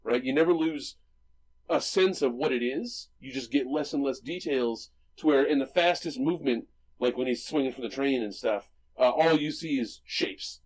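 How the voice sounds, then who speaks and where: Man, mid-pitched voice, casual podcast chat, enthusiastic, restarts a lot. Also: normal speed, slightly rough. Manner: enthusiastic, explains with many restarts and "right?". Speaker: podcast co-host. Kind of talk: casual movie discussion.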